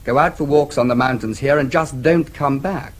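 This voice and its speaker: An actor playing a brisk, dry-humoured English diplomat and protective brother in a radio drama, with an English accent. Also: male voice, mid-range voice, close to an everyday voice. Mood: brisk, affable. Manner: brisk, explanatory, dryly teasing. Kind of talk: BBC radio drama acting.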